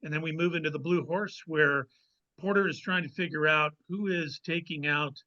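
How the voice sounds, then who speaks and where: A man speaking as a guest author in a conversational interview, explaining things in a measured way with pauses to find his words. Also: fairly high pitch, fairly even intonation, pushed and bright, highs cut off. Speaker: guest novelist.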